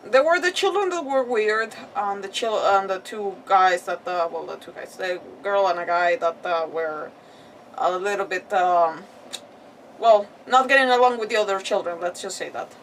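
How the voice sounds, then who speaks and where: A woman's voice: mid-range voice, normal speed. Casual woman streamer, slightly off-mic. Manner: animated reactions, rambling, mock-arguing with characters. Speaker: game streamer. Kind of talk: casual live gameplay commentary. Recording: slightly distant mic, faint background noise.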